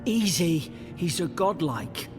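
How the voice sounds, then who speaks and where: A loyal imperial soldier from a fantasy video game. He is earnest and wary, with a somewhat high voice for a man. Prominent music plays behind him. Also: animated, slow pace. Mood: firm, defensive. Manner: earnest, cautious. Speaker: game character. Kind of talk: video game dialogue acting. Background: loud music.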